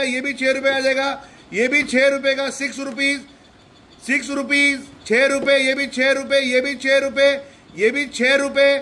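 A young man with a very high voice pitches a store sale, holding his phone camera as he walks a big indoor shoe shop. He repeats prices over and over in a flat, unvarying tone. A faint store hum sits behind him. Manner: repetitive rapid-fire price listing. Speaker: shop salesman. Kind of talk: promotional sale walkthrough.

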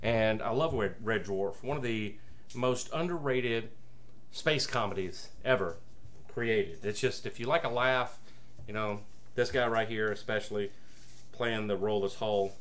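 A man in his forties chatting casually to a webcam as a vlogger, enthusiastic and rambling, with halting pauses. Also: lowish voice, fairly bright tone. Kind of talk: casual excited unboxing vlog chat. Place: small room at home, indoors. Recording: noisy room with air conditioner hum. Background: air conditioner hum.

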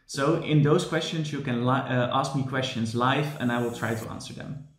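A man's voice: mid-range voice, moderate pace. Young man tutor in an echoey room. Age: young adult. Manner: earnest, explanatory, with frequent "furthermore" and restarts. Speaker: course supervisor and tutor. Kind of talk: promotional talk to camera. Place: room with large windows, indoors. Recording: echoey room with faint music.

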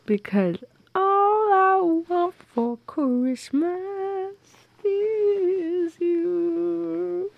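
A young woman in an intimate girlfriend audio roleplay, speaking softly and close to the mic with playful, teasing affection. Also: very high voice, drawn-out words, gravelly, no room sound, narrow, tinny audio. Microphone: close microphone, dry.

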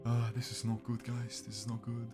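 A man's voice: medium pitch, monotone, fairly quick, music in the background. A young man from Bosnia, raised in Switzerland, chatting to his viewers as he streams a strategy game from a small room at his desk mic. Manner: chatty, talks to his viewers, repeats himself. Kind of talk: live gameplay commentary.

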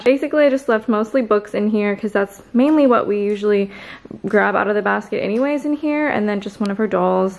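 A woman's voice: mid-range voice, creaky. A woman in her twenties chatting casually through a home vlog, bubbly and laughing often. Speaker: lifestyle vlogger. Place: home kitchen and living room. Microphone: handheld vlog camera.

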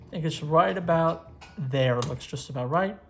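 A man's voice: fairly high pitch, normal speed, gravelly, slight background noise. A man in his twenties giving a step-by-step tutorial at an indoor workbench, recorded on a lapel mic. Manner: steady step-by-step instruction. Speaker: magazine editor giving a tutorial. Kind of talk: how-to tutorial.

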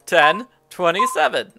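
Animated man narrating a video game. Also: high voice, slow pace, gravelly, over loud music. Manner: jokey, musing aloud. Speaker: host of a game walkthrough. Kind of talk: casual playthrough commentary.

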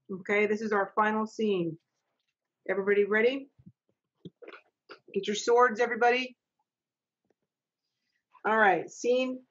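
A middle-aged woman acting as stage manager, reading stage directions and plainly instructing readers in an informal way. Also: medium pitch. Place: small home office. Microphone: video call, computer microphone. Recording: dull, lo-fi video call audio.

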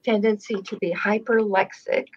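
A woman who grew up in Israel with Hebrew as her first language, hosting a relaxed video call chat and speaking slowly with many pauses. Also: mid-range voice. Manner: unhurried, with many pauses and fillers. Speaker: channel host. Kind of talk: casual video call conversation. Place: home room with framed painting. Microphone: video call webcam. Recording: dull lo-fi video call audio.

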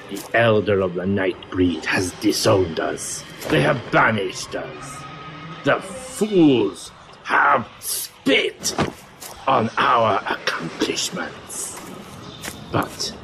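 A man playing a pompous, theatrical vampire master leading a dark ritual in an audio drama. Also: on the low side. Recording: music under the voice.

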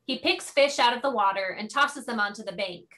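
Higher-voiced woman reading stage directions. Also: fairly quick, fairly bright tone. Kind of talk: scripted narration of a play.

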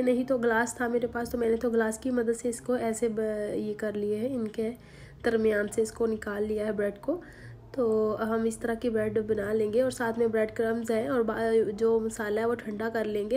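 A woman, hoarse from a sore throat, giving a chatty home cooking voice-over with many fillers. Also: on the high side, dark tone, slight background noise. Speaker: cooking channel host. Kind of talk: recipe voice-over. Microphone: voice-over recorded separately.

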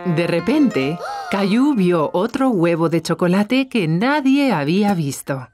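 A woman's voice: lowish voice, very animated, takes it slowly, over music. A woman narrating a scripted children's cartoon story for young listeners.

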